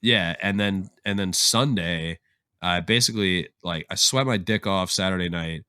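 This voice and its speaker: A man's voice: low-pitched, normal speed, pushed and bright, smooth, no echo, clean studio sound. A young man co-hosting a podcast, telling stories in a loose, slangy, profane way while getting over covid. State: recovering from covid. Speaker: podcast co-host. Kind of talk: casual podcast chat.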